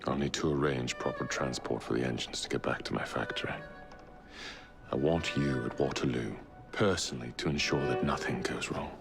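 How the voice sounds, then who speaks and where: A man's voice: extremely low pitch, normal speed, creaky, over music. An actor playing a commanding male industrialist who speaks in a cold, authoritative way, in a game cutscene. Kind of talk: video game cutscene acting.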